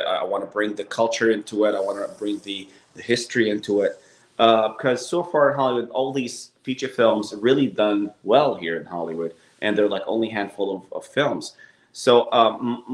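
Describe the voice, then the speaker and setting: Egyptian-accented man in an echoey room. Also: lowish voice. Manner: halting, many fillers and restarts. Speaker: actor and producer guest. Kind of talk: casual interview on a video call. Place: living room on a couch. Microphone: laptop webcam on a video call.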